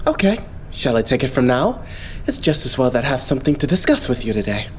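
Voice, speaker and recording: A man voicing a polite, composed young idol leader in an anime fandub, explaining things in a measured way. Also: medium pitch, very animated, a little hiss or hum, lightly acted. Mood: gentle. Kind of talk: anime game fandub acting.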